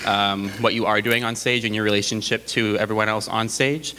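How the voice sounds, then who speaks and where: Bright-voiced young man dancer. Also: lowish voice, fairly quick, smooth. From Madison, Wisconsin. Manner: relaxed, thoughtful, many ums. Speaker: professional dancer. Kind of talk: casual answer to a student question. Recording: hall reverb, background noise.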